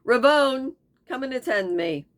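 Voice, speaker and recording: A woman's voice: high voice, lively intonation, measured pace, pitched above the performer's own voice. A woman voicing a gallant young male goat hunter who speaks in a light, joking way. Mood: wry.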